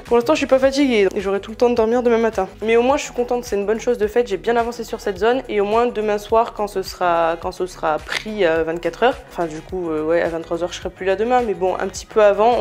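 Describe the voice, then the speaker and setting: A woman's voice: on the high side, a little mellow. A young woman chatting casually to camera about her house renovation, frank and full of hesitations. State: stuffy nose from a cold. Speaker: home renovation vlogger. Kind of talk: casual vlog chat to camera.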